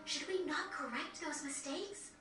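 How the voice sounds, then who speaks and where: Well-spoken loyal young woman, high pitch, polite, video game drama, distant echoey sound. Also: a little slow, pushed and bright. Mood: earnest. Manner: polite, earnest. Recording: echoey, distant, over faint game music.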